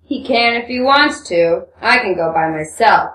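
A woman's voice: mid-range voice, animated, unhurried, fairly bright tone. A woman voicing a sly, irritable man in a storybook reading, taunting and grumbling. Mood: irritable, mocking. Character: sly, sneering, irritable man from a Russian novel. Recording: roomy, distant microphone, noisy hum.

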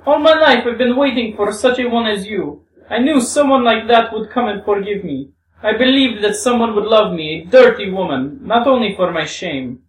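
A woman's voice: medium pitch, lively intonation, moderate pace, voice pushed up, lightly acted. A woman playing a volatile, wounded young Russian woman in a storybook reading, with theatrical exclamations. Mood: anguished, pleading. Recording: roomy, distant microphone.

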